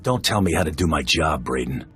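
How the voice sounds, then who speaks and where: A man's voice: very deep voice, animated, brisk, a bit bright, a little hiss or hum. A man playing a grim bounty hunter who speaks in terse, cold, threatening lines in video game dialogue. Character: grim male bounty hunter, curt and menacing. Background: faint game music.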